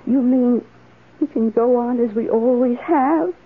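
High, wistful, hesitant lonely woman. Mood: tender. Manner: hesitant, emotive, drawn-out. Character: wistful, lonely woman, devoted secret lover. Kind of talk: 1970s radio drama acting. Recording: tinny, hissy old radio broadcast.

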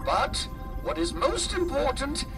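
An actor playing a tiny, earnest old male scientist in a video game, dramatic and quick to indignation. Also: very high-pitched, very animated, full character voice. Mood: earnest, resolute. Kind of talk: dramatic game cutscene acting. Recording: distant, loud music behind. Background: loud game music.

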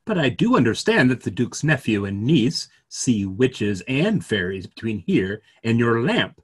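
A man in his fifties playing a polite, inquisitive gentleman in a stage play reading, measured and questioning. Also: medium pitch, very animated, slightly rough. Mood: polite curiosity. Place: dim home room on a video call.

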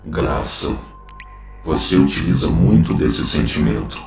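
A very deep, gravelly sinister being. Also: male voice, lively intonation, measured pace, darkish tone, full character voice. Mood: cold, scheming. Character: cold, calculating, sinister being studying human feeling. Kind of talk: audio drama acting. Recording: echoing, distant, music over voice.

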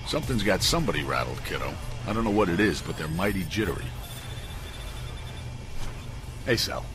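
A man's voice: low-pitched, big pitch swings, fast, over music. An actor playing a streetwise male mentor in a video game cutscene, with a folksy, knowing manner. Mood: concerned.

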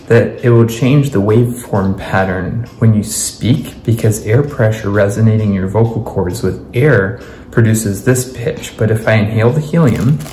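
Low-voiced man explaining in an echoey room. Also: moderate pace, warm tone. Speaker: presenter. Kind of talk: casual science demonstration to camera. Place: small hard-surfaced room indoors. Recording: echoey room with faint background noise.

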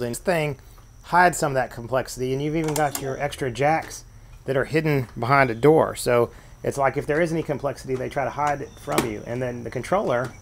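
An easygoing man with a gravelly edge to his voice talks through an old machine in a relaxed home vlog. His pitch sits in an ordinary middle range, and he rambles with plenty of fillers. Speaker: vintage tape deck repair vlogger. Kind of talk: casual show-and-tell narration. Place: sunny room at home, at a desk.

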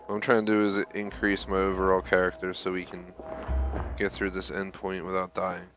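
A man's voice: deep voice, monotone, moderate pace. A man giving casual, offhand, slangy commentary as he plays a video game. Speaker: gamer commentator. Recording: voice over loud game music. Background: video game music and sounds.

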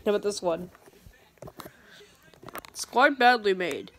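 A woman's voice: medium pitch, slow pace, slight background noise. A woman reading a webcomic aloud and switching voices for each character, filmed in a dim room while pointing a phone at a tablet. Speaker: comic dubber.

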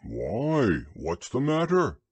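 A man's voice: medium pitch, wildly swooping pitch, slow, darkish tone, breathy edge, no room sound, pitched above the performer's own voice, full character voice. A man voicing a kindly, simple-minded teddy bear in a storybook reading, with a lighter, innocent, puzzled voice. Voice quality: lighter, raised voice.

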